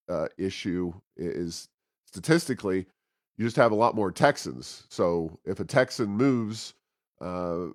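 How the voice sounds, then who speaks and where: A man with a low voice talks politics as a co-host, in a conversational but opinionated way. His delivery is sardonic and leans on mocking emphasis. The sound is close and dry. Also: dry recording. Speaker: talk show co-host and commentator.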